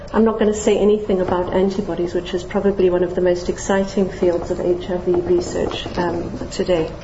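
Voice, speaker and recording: Middle-aged woman from Zambia, scientist lecturing to a general audience; mellow, friendly, dry humor, lots of fillers; dull, roomy hall sound. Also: mid-range voice, a little hiss or hum. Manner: friendly, with light self-deprecating humor and many fillers. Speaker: scientist giving a public lecture.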